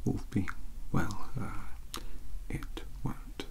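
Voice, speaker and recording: A man playing a young, bookish British vampire-hunting mentor in a soft-spoken ASMR roleplay, polite, awkward and formal, with hesitant, stammering phrasing. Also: extremely slow. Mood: hesitant, scholarly.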